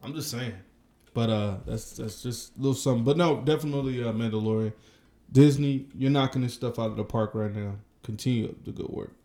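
A man co-hosting a casual podcast, joking and relaxed, with lots of filler words. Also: medium pitch, brisk. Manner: joking, laid-back, lots of "uh". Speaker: podcast co-host. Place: indoor room, across from co-host. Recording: echoey room.

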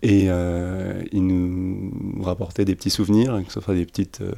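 Gravelly deep-voiced man recalling childhood. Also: flat delivery, moderate pace, mellow. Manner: hesitant, frequent fillers, laughs while talking. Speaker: interviewee.

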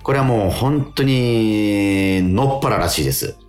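Male radio co-host, low voice with lively swings, halting anecdotal chat, music underneath.

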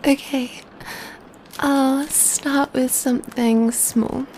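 A very high, mellow female vampire, acted by a woman for an ASMR roleplay, cooing and soothing as she pampers her companion. She takes her time, speaking slowly and tenderly, close to the listener. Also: little pitch movement, slight background noise. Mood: tender, playful. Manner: tender, cooing, soothing, often dropping to whispers. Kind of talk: ASMR roleplay, soft intimate speech.

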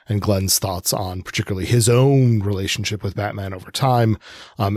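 A man's voice: fairly low pitch, a little mellow, no echo, clean studio sound. A man co-hosting a podcast, talking casually about comics and often stopping to qualify what he says. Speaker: podcast co-host. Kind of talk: casual conversational commentary on comics.

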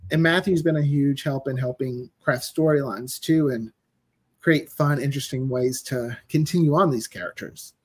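A man's voice: medium pitch, moderate pace, breathy edge. A man speaking as a podcast guest in casual chat, explaining things in a chatty way. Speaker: podcast guest, audio drama writer.

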